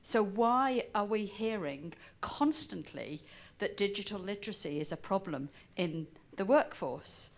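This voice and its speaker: Woman from Wales, conference audience member, mid-pitched, argues her point emphatically. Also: lively intonation, unhurried, few pauses. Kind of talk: opinionated discussion remarks from the audience. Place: conference breakout room, speaking from the audience.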